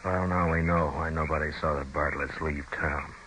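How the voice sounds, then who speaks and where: An actor playing a calm, stern frontier marshal in an old-time radio western, speaking in a laconic, authoritative, folksy way. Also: male voice, a bass voice, normal speed. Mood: grim. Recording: noisy old radio drama recording.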